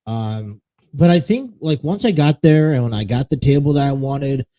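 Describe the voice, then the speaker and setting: Mellow-voiced rambling talk show host. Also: male voice, mid-range voice, lively intonation, normal speed. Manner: rambling, self-correcting, chatty. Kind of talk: casual solo talk show chat. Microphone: studio mic with monocaster setup.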